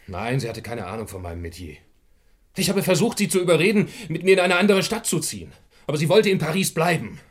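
An actor playing a cornered gangster in a German radio crime drama, bitter and defensive, with terse speech and dramatic emphasis. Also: male voice, fairly high pitch, very animated, quick pace, slightly rough. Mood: defensive, regretful.

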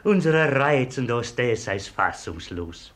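An actor playing a sly, theatrical Italian hotel guest with a husky voice and an Italian accent in a 1950s radio play. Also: male voice, mid-range voice, very animated, a little slow, fairly bright tone. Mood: sly, menacing. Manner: flamboyant, insinuating, mixes in Italian words.

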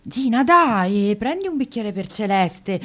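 A woman's voice: mid-range voice, big pitch swings, takes it slowly, fairly bright tone. A woman acting a friendly, bustling dinner hostess in a naturalistic radio play. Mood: bustling, familiar.

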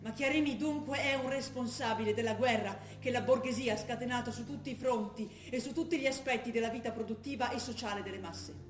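An actress playing an earnest, ideologically convinced young revolutionary daughter in an insistent, declamatory stage style. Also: female voice, fairly high pitch, fast talker, hardly any pauses, lightly acted. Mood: solemn, militant. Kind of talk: stage drama acting, declaimed political proclamation. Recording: roomy stage sound with music behind.

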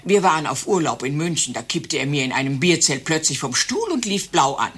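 Husky, animated chatty old widow. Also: female voice, sits low, brisk, full character voice. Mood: indignant, aggrieved. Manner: gossipy, exaggerating. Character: chatty, indignant elderly widow telling tall tales. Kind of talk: satirical radio play monologue.

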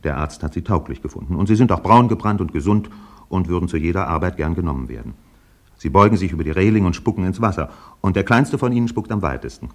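Deep, husky brooding radio-play narrator. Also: male voice, lively intonation. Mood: measured storytelling. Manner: measured, solemn, deliberate.

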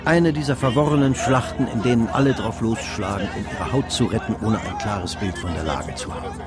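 An actor playing a grave, vivid literary narrator in a radio drama, measured and dramatic. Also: male voice, lowish voice, music in the background, crowd noise, close to an everyday voice.

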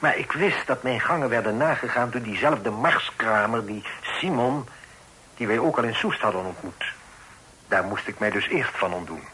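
An actor playing a proud, protective middle-aged father in a period radio drama, speaking formally and with measured authority. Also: medium pitch, lively intonation, sharp tone, slight background noise, poor audio. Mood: measured, confiding. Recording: old radio play recording.

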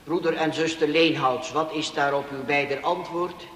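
An actor playing a solemn Protestant minister conducting a baptism in a formal, measured, declamatory way in a 1960s radio drama. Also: male voice, fairly high pitch, fairly even intonation, a bit bright, natural voice. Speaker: pastor. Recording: echoey church hall, noisy old radio recording.

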